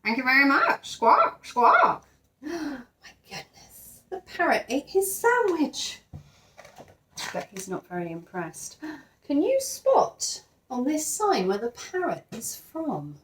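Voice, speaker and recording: A woman's voice: high-pitched, wildly swooping pitch, takes it slowly. A woman teacher reading a storybook expressively to young children, with chatty asides to the listeners. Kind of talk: animated storybook read-aloud.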